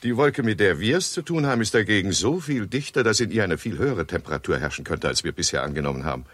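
An optimistic astronomer in a 1960s radio play, reasoning and arguing his case. The voice is low and husky with lively intonation, recorded close and dry. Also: fast, no echo. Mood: musing, reasoning. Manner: argumentative, explanatory. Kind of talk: 1960s German radio drama acting.

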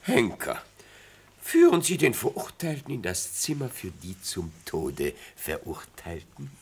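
An actor playing a tipsy, grotesque old retired judge in a radio play, speaking with solemn, theatrical relish. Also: male voice, low-pitched, wildly swooping pitch, heavily acted. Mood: commanding. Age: older. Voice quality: husky, gravelly. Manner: theatrical, slow, solemn, swooping into whispers. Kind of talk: German radio play acting.

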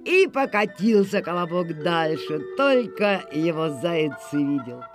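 A narrator telling a folk tale in expressive storybook style. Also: mid-range voice, unhurried. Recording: music playing behind.

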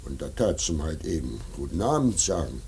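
A man's voice: rumbling low, sing-song, a little fast, warm tone, slight background noise, exaggerated voice. An actor playing a deferential, troubled working man in a German radio play, talking in a plain, hesitant, colloquial way. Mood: uneasy.

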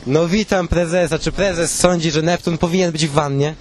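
A man's voice: on the high side, fairly even intonation, moderate pace. A man with a Polish accent doing playful vox-pop interviews on the street as a co-host, mock-serious in manner. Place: outdoors on a city street. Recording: noisy outdoor street ambience.

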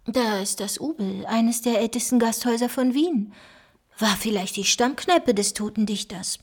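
A woman's voice: on the high side, normal speed, slight background noise, lightly acted. An actress playing an analytical, knowledgeable female investigator with dry wit, explaining clues in a radio drama. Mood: explanatory.

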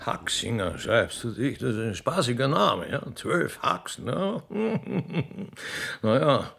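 A man playing a genteel, condescending but kindly old emperor with an Austrian accent and a gravelly voice in a radio play. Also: medium pitch, very animated, slow pace, fairly bright tone, full character voice. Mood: kindly, musing. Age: older. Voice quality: gravelly, husky. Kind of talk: radio play character acting.

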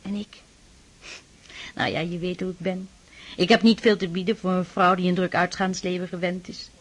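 An actress playing a shy, devout aristocratic sister in a Dutch radio drama, speaking earnestly and tenderly, with pleading admonishment. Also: female voice, on the low side, little pitch movement, moderate pace, natural voice. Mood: shy, confiding.

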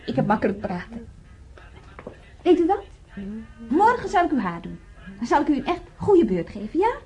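An actress playing a cheerful, patient young nurse on a hospital ward in a radio play. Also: female voice, sits high, big pitch swings, moderate pace, warm tone, soft background music. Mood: reassuring, patient. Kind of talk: naturalistic radio play acting.

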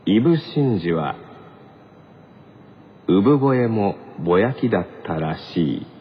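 A man's voice: on the low side, sing-song, slow pace, husky. A man hosting a light comedic radio show, reading listener mail in an amused, mock-formal way. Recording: slightly dull radio recording.

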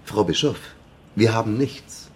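An actor playing a middle-aged police detective, terse, husky and weary, questioning insistently in a crime radio drama. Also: male voice, on the low side, measured pace, darkish tone, a little hiss or hum, lightly acted.

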